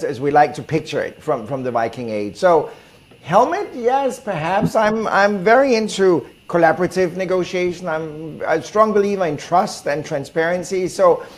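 Higher-pitched Danish man answering questions. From Denmark. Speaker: guest, negotiation expert. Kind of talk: conversational interview answers.

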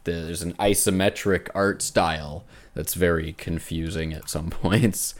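A man hosting a podcast, chatting casually about video games in a halting way, often breaking off and starting over. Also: low-pitched, fairly even intonation. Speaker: podcast host.

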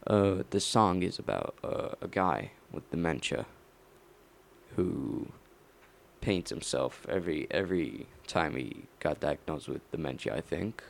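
A young man rambling on his own late at night, mumbling slowly and halting often with fillers. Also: extremely low pitch, expressive, creaky, slight background noise.

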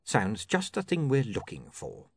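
A man's voice: lowish voice, huge pitch swings, a little slow, vocal fry, slightly rough, no echo, exaggerated voice. Man playing a curt, cold criminal, dry sound. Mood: calculating. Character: cold, curt, menacing male criminal boss.

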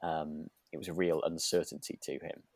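A man's voice: extremely low pitch, flat delivery, moderate pace, darkish tone, dry recording. A British man co-hosting a podcast, chatting casually and ramblingly, often rephrasing as he goes. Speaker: podcast co-host. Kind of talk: casual chat about a radio drama.

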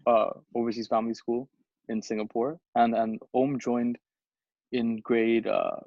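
A man's voice: medium pitch, level tone, measured pace, a little mellow, no echo, muffled recording. A young man from Bangladesh co-hosting a podcast, telling a story in a rambling, casual way with lots of restarts and fillers. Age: young adult. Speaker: podcast co-host. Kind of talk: casual chat.

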